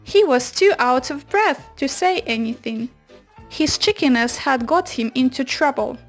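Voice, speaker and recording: A woman's voice: high-pitched, expressive, unhurried, soft background music. A woman narrating a children's story from a script, as if reading a picture book aloud.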